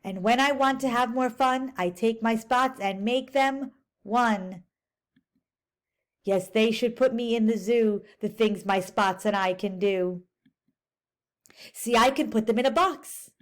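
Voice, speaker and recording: A woman's voice: on the high side, a little slow, lightly acted. A woman voicing a boastful, eager spotted male creature in a rhyming storybook, with a bouncy delivery.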